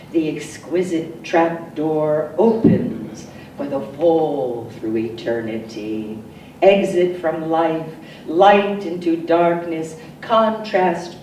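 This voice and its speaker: Woman reciting poetry real slow and dramatic, big swoops in pitch, echoey room, mic kinda far off w faint noise. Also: dark tone. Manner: deliberate, dramatic phrasing with pauses. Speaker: poet. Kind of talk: poetry reading, recited expressively. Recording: roomy echo, distant mic, faint noise.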